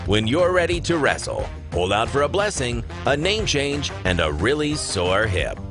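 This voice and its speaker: Upbeat huckster , played by a man, mid-pitched, animated, wry sales read for a spoof radio spot. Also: moderate pace, lightly acted. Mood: salesy, upbeat. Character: upbeat huckster announcer for a wrestling school. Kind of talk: parody radio commercial. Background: music bed.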